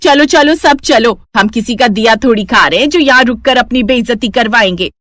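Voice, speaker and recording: An indignant auntie at a cartoon wedding, acted by a woman. Her voice is high and exclaiming, full of affront. It is recorded dry and close. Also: moderate pace, fairly bright tone, dry recording, natural voice. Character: indignant female relative at a wedding.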